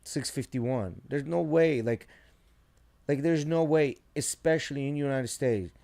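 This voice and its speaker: Mellow-voiced man giving opinions on a podcast. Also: on the high side, measured pace, vocal fry. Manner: opinionated, slangy. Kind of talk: casual podcast chat.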